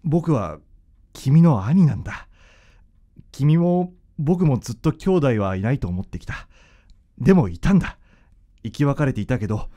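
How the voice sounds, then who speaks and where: A man's voice: medium pitch, big pitch swings, moderate pace, pauses often, warm tone, lightly acted. A man playing a devoted, intensely emotional, anguished young man in a Japanese radio drama. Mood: earnest.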